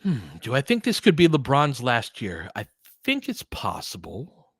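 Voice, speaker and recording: A man gives informal play-by-play and analysis of a basketball game in a mid-pitched voice. He thinks out loud in a conversational way, like a streamer chatting through the action. The sound is dry, with very little room in it. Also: wildly swooping pitch, a little slow, husky, no echo. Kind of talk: casual live commentary mixed with analysis and chat.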